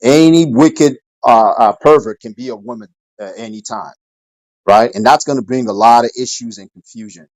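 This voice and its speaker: A man moderating an online discussion room, hesitant and filling pauses with "um" and "right?". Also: mid-range voice, darkish tone, no room sound, low-quality recording. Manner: hesitant, full of fillers and "right?". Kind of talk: casual moderating and commentary.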